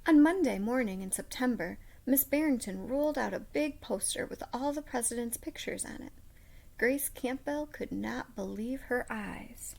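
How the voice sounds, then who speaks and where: A woman reading a children's story aloud expressively, with dramatic pauses and hushed asides. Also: a little high, gravelly. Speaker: storybook reader.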